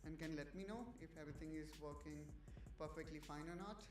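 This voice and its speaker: Hesitant male livestream host, higher voice. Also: fast talker, music in the background. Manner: hesitant, many fillers and restarts, checking with viewers. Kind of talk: casual livestream tutorial.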